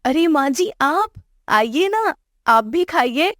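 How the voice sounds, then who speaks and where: High, cheeky young bride, played by a woman. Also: lively intonation, slow, fairly bright tone, no echo, studio quality, heavily acted. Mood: innocent, cheeky. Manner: cheeky, defiant. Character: brazen, gluttonous young daughter-in-law from a poor village. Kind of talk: Hindi moral-story cartoon dialogue.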